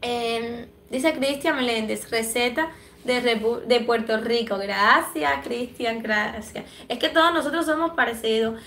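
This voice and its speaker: A woman's voice: a little high, measured pace, fairly bright tone, reverberant, a little hiss or hum. A young woman from Cuba and the Dominican Republic, chatting affectionately on a livestream as she reads viewer comments aloud, a little stuffy from allergies. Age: young adult. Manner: affectionate, chatty, laughs often. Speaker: livestream host. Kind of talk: live chat reading viewer comments. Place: small room indoors. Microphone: phone camera at arm's length.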